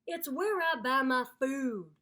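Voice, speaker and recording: A woman voicing an indignant, exasperated male alligator in a children's storybook, complaining loudly and emphatically. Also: high-pitched, animated, slow, full character voice. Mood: defensive, annoyed.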